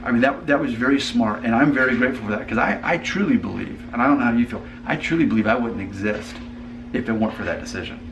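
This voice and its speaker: A man's voice: mid-range voice, quick pace, muffled recording, background noise. A man hosting a casual family conversation, earnest and thinking aloud with frequent restarts. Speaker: host.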